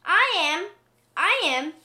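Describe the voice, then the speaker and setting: Woman doing whiny, high-pitched little cats. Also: big pitch swings, slow pace, sharp tone, heavily acted. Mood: vain, squabbling. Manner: mewing, insistent, repetitive. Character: crowd of vain, whiny little storybook cats.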